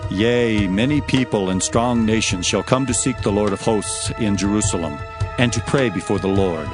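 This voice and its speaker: A man's voice: fairly low pitch, moderate pace. A man narrating scripture dramatically in a solemn, declamatory manner. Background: music.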